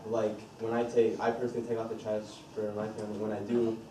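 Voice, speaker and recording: A man on a panel who talks casually and haltingly, with many fillers. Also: fairly low pitch, monotone. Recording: noisy echoey hall from far off.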